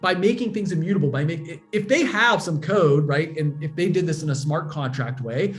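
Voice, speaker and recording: Higher-voiced man explaining patiently. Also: moderate pace, faint music behind. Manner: explains patiently with concrete examples. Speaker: guest, blockchain educator. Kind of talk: casual explanatory interview talk.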